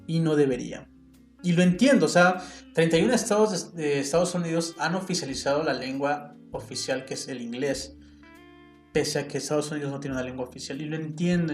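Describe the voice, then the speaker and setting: A man with a Mexican accent hosting a reflective solo podcast, talking in an earnest, opinionated way and thinking aloud with small restarts. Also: a little high. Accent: Mexican Spanish. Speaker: podcast host. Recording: roomy sound with faint guitar music behind.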